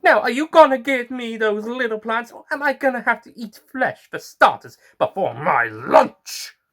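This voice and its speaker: A man voicing a cocky, hungry alien flytrap monster in an audio story, loud and demanding. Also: very high-pitched, very animated, normal speed, pitched above the performer's own voice, full character voice. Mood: demanding, menacing.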